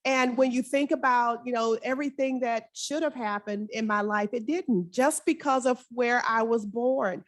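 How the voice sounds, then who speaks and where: Female conference panelist, Southern US accent, raised pitch, informal folksy delivery with good humor. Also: normal speed, few pauses. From Arkansas, United States. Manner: folksy, jovial.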